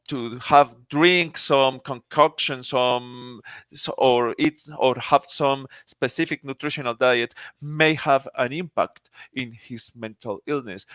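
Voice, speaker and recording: A man's voice: mid-range voice, a bit bright, clean tone, no echo. A man from Colombia, a native Spanish speaker with a Colombian Spanish accent, gives an earnest, formal conference lecture as a psychiatrist, often restarting his phrases.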